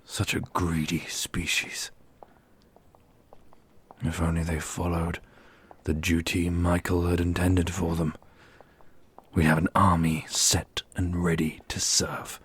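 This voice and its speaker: A man playing a brooding, scornful supernatural warrior in an ASMR roleplay, speaking formally in a husky, breathy voice. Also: deep voice, level tone, slow, long pauses, fairly bright tone, heavily acted. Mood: brooding disdain.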